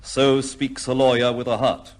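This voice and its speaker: An actor playing a shrewd, fatherly upper-class gentleman investigator in an old-time radio drama, with a commanding, wry, questioning manner. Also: medium pitch, flat delivery, normal speed, lightly acted. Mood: wry, triumphant.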